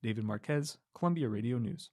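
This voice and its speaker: A man reporter reading a scripted radio news story in a measured, clear way. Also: medium pitch, expressive, warm tone, no room sound, studio quality.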